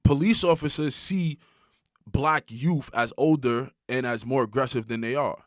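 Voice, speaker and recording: A man's voice: medium pitch, normal speed, no room sound, studio quality. A man co-hosting a casual podcast, talking in slang and often checking in with "right?" as he leads the conversation. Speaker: podcast co-host. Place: hot room in a building.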